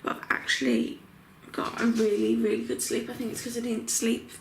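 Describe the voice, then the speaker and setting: A young, heavily pregnant woman chatting casually to her camera at home, full of filler words, her voice croaky. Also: fairly high pitch, level tone, moderate pace, slight background noise, poor audio. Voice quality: croaky morning voice. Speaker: vlogger. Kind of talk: casual vlog chat. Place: home bedroom.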